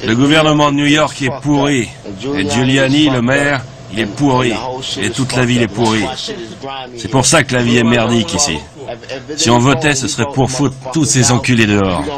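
A man's voice: mid-range voice, normal speed, a crowd in the background. A man reading a blunt, emphatic voice-over translation of a young interviewee.

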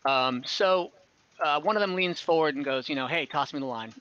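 Game master, young man, bright voice, loose rambling narration, dull call audio. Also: a little high, moderate pace. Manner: relaxed, rambling narration with many fillers. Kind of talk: narrating a tabletop game over video call. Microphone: headset on a video call.

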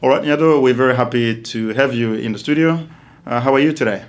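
A middle-aged man hosting a studio interview, with hesitant, halting phrasing. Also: medium pitch. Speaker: interview host. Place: small studio, seated at a desk.

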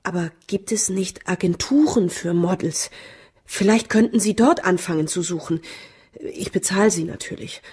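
A woman playing an anxious, brittle young heiress in a German radio play, halting, timid and pleading. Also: on the low side, darkish tone, husky. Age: young adult.

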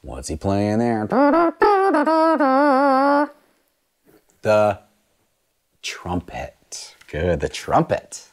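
A man in his thirties reading a picture book aloud and teaching children about musical instruments in an animated, sing-song way, recorded on a lapel mic in a small studio room. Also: high-pitched, very slow, pauses often, smooth.

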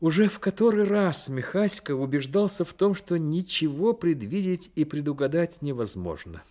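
An actor playing the storyteller of a radio play, narrating in a literary style. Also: male voice, on the high side, lively intonation, normal speed, darkish tone, lightly acted. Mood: reflective. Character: storyteller narrating a boy's life.

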